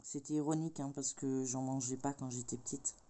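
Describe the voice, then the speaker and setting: A woman's voice: rumbling low, fairly even intonation, a little fast, warm tone. Rambling middle-aged woman with a cold. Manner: rambling, hesitant, many filler sounds. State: has a cold. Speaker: craft vlogger. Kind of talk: casual chat while crafting.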